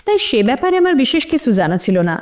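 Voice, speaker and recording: A woman's voice: sits high, very animated, very fast. A woman playing an earnest young college student on the witness stand in an audio drama, emphatic and slightly defensive. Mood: earnest, puzzled. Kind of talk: audio drama courtroom acting.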